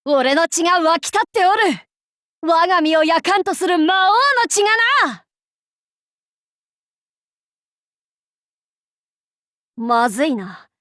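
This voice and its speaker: A woman's voice: very high voice, expressive, moderate pace, fairly bright tone, no room sound, studio quality. A woman voicing an imperious, haughty demon-king warlord in a mobile game, speaking in pompous, archaic lordly Japanese phrasing. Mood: fierce, cocky.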